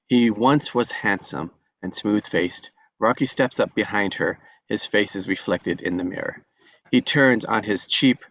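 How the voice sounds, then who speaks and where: A man reading the stage directions aloud at a script table read, plain and even. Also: on the low side, no room sound, poor audio.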